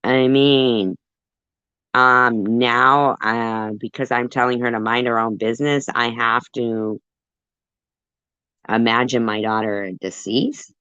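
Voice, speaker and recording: A woman's voice: very deep voice, steady tone, slow pace, fairly bright tone, clean tone, no echo. A woman hosting a commentary stream, talking in a defensive, rambling way with lots of fillers. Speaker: commentary channel host. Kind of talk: livestream commentary. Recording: dull dry lo-fi audio.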